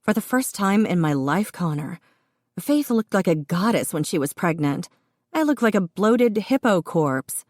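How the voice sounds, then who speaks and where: A woman's voice: fairly low pitch, animated, unhurried, a little mellow, no echo, studio quality, pitched above the performer's own voice. A woman voicing a heavily pregnant young woman who teases her brother in a bubbly, gushing way. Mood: teasing.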